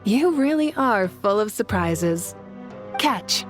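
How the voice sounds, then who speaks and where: A woman playing a confident, generous adult ally in a fantasy game, relaxed and informal with a teasing edge. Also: mid-range voice, slow pace, faint music behind. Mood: amused, casual.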